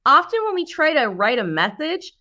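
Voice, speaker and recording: A woman's voice: high-pitched, big pitch swings, normal speed, bright, forceful tone. Emphatic woman coaching on business. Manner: emphatic, coaching, poses rhetorical questions. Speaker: podcast guest, brand strategist. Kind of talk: explanatory business interview talk.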